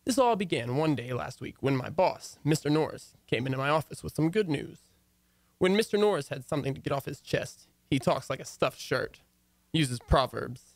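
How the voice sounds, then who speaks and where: A man's voice: fairly high pitch, expressive, normal speed, no echo. A man playing a dry, wry radio-comedy husband. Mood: wry narration. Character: wry, long-suffering husband and office worker, narrating his story. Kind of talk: old-time radio comedy acting.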